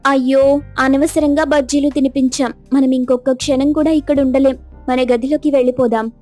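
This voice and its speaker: A woman's voice: sits high, fairly even intonation, normal speed, darkish tone, soft background music, lightly acted. A woman voicing a naive, silly young daughter-in-law with an earnest manner in a dramatized cartoon story. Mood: dismayed.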